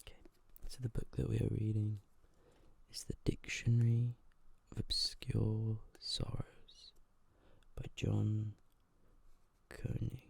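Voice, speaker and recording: An ASMR host reading aloud in a soft, breathy whisper, gentle and hushed, close to the microphone. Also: mid-range voice, level tone, takes it slowly, pauses often, no echo. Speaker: host of an ASMR video channel.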